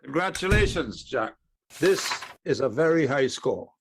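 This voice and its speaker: A man's voice: medium pitch, animated, measured pace, background noise. A man acting an upbeat, polite doctor in an amateur audio play. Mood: pleased, official. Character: upbeat, polite doctor giving test results.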